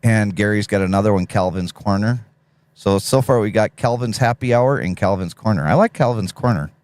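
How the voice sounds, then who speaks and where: A man with a deep radio voice hosting a casual live stream, reading chat messages aloud and chatting easily. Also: fairly quick, darkish tone, no room sound. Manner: laid-back, reads chat messages aloud, laughs often.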